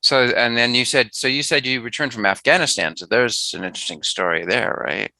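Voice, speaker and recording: Chatty male interviewer, deep gravelly voice, dry close sound. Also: a little fast, sharp tone, dry recording. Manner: rambling, chatty questions with restarts. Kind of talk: casual interview.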